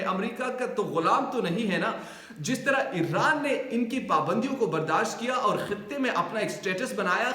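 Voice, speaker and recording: High-pitched Pakistani man, echoey commentary. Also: fairly bright tone. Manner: emphatic, repeats phrases for emphasis. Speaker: news commentator. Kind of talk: political news commentary addressed to viewers. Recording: roomy echo on the voice.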